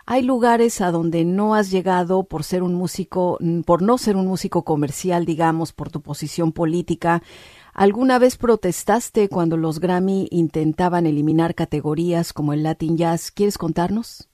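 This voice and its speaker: A radio interviewer asks long, measured questions in a mellow, warm voice. The sound is dry and close, with no room around it. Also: mid-range voice, no echo.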